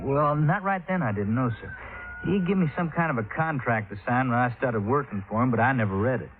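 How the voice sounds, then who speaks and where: A man's voice: mid-range voice, brisk, noisy background, close to an everyday voice. Man acting folksy young fisherman, tinny old radio. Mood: deferential. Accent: American. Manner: folksy, deferential. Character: polite, plain-spoken young fisherman, defensive country lad. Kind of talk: 1950s radio drama acting. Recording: phone-like vintage radio recording.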